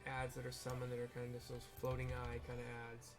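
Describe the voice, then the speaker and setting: A man giving casual, explanatory game commentary, describing the scene in a halting way with trailing asides. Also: mid-range voice, monotone, music in the background. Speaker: gamer narrating a raid playthrough.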